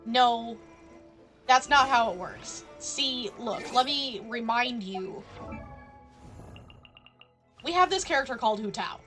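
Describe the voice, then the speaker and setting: High-voiced woman, playful game streamer. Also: expressive, takes it slowly, pauses often, fairly bright tone, soft background music. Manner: playful, sardonic asides to characters. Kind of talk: casual live game commentary.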